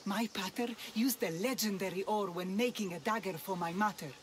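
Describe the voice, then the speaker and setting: Female actor as young smith, reluctant, wry, unsure of herself, mid-pitched, game cutscene. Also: brisk, natural voice. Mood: doubtful. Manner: wry, hesitant, grumbling, sighing. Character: reluctant, self-doubting young blacksmith's daughter. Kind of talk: video game cutscene acting.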